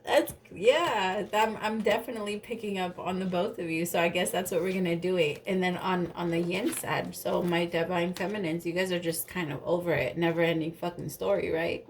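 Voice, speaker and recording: A woman with a lowish, slightly stuffy and nasal voice, talking through a tarot reading in a loose, chatty ramble. She drifts off topic and drops casual curses. Faint noise hums under the recording. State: stuffy from allergies. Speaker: tarot reader.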